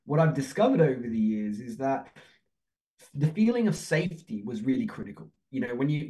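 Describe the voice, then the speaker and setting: A man's voice: medium pitch, a little mellow, poor audio. A man from Turkey who grew up in Australia, answering reflectively as an expert guest in a conversational interview, repeating words for emphasis. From born in Turkey, grew up in Australia. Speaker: podcast guest, economics expert.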